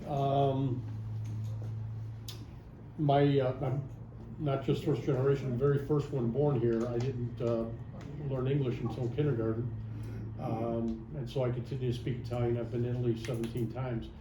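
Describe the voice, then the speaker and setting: Guy introducing himself, halting, lots of ums, echoey noisy room. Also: mid-range voice, little pitch movement. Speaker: workshop participant, lawyer. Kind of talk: self-introduction at a workshop. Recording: echoey room, background noise.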